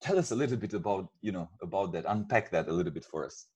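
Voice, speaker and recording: A man's voice: deep voice, expressive, quick pace, a little mellow, slightly rough. A man hosting a formal interview, giving prepared introductions and questions to a guest. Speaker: interview host.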